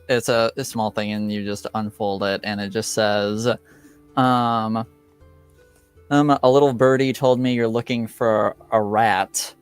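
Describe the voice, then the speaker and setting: A man improvising narration as game master of a tabletop roleplaying game, in a halting, tentative way. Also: lowish voice, clean tone.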